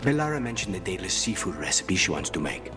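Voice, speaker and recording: An actor playing a suave, dry-witted male assassin in a video game, speaking in a measured, understated way. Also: sits low, big pitch swings, over music. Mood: calm, reflective. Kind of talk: video game dramatic voice acting.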